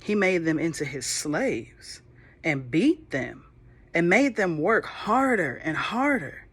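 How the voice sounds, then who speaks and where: A woman reading a storybook aloud to children at an unhurried, slow pace. She talks gently and chattily, stopping to ask the kids questions between pages. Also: deep voice, sing-song, breathy edge.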